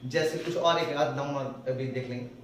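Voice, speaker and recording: A male spoken-English teacher with a Hindi accent, who explains slowly and repeats phrases word by word. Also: mid-range voice, steady tone, a bit bright, a little hiss or hum. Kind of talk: classroom grammar lesson. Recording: echoey room, voice from far off.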